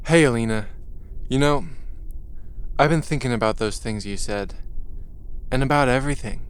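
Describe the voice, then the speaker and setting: A man's voice: mid-range voice, moderate pace, clear, resonant tone, close to an everyday voice. A man acting in an audio play as an earnest, lonely young moon colonist, speaking sincerely and a little hesitantly. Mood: tender, earnest.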